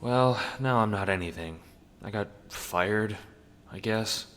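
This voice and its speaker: A man's voice: lowish voice, slow, fairly bright tone, big-room sound, pitched above the performer's own voice. A man voicing a tired, wary, downtrodden woman, a former postmistress, in a matter-of-fact, unimpressed manner for an audio drama. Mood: miserable. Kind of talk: audio drama character acting.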